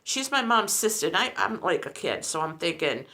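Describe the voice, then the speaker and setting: A woman's voice: lowish voice, very animated, moderate pace, bright, forceful tone. A woman in her sixties, a lifestyle vlogger, chatting in a rambling, storytelling way. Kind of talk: casual chat.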